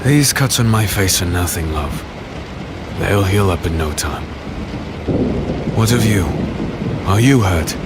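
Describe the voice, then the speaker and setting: A man playing a gruff but caring battle-worn warrior, narrating and speaking in a dark fantasy audio drama. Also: sits low, animated, takes it slowly, long pauses, very noisy, exaggerated voice. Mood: wry, tender. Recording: loud thunderstorm and rain effects behind.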